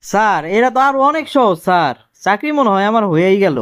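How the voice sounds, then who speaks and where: A man voicing a cheeky, quick-witted cartoon job candidate who explains things deferentially in colloquial Dhaka-accented Bangladeshi Bengali. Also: high voice, animated, a bit bright. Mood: confidently explaining. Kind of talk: comic cartoon dialogue.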